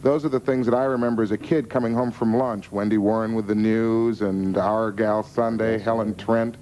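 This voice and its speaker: A man's voice: on the low side, steady tone, moderate pace, warm tone. An American man speaking spontaneously as an audience member, putting a question to a guest. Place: television studio audience. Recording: noisy vintage TV broadcast.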